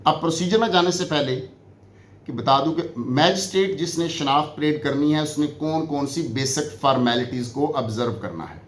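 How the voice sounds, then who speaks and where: A man's voice: fairly high pitch, moderate pace. A man with a Pakistani accent giving an explanatory lecture, teaching by posing questions and answering them, repeating key phrases. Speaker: law lecturer. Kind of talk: explanatory exam-prep lecture mixing Urdu and English. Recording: echoey room with faint background noise.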